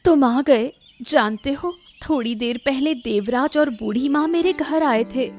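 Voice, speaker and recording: A woman's voice: high voice, measured pace, a little mellow, faint music behind. A woman voicing a firm, earnest, plaintive young village woman in a cartoon story. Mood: worried.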